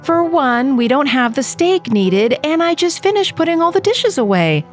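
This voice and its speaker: A high, lively housewife's voice from an actress in an audio horror drama. She is unimpressed and nagging, answering in a matter-of-fact way. Faint music sits behind her. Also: moderate pace. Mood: exasperated, patient. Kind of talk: audio horror anthology acting.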